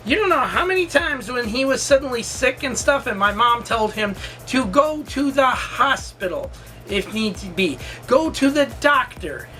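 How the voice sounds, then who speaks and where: Bright-voiced man in his forties telling stories. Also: very high voice, expressive, normal speed, soft background music. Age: 40s. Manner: rambling, emphatic, repeats himself. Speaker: storytime vlogger. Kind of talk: casual personal storytelling.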